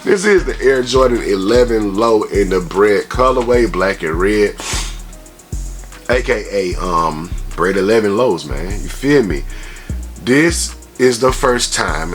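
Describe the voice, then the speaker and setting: Low-pitched man in casual sneaker vlog. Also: fairly bright tone. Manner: slangy, conversational, peppered with tag phrases. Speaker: sneaker review YouTuber. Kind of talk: casual product review vlog with shout-outs.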